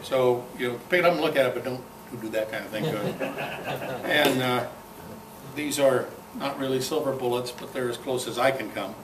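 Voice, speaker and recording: A man giving an informal, halting, jokey show-and-tell talk to a club meeting, in a folksy way. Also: medium pitch. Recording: echoey room, distant mic, clear background noise.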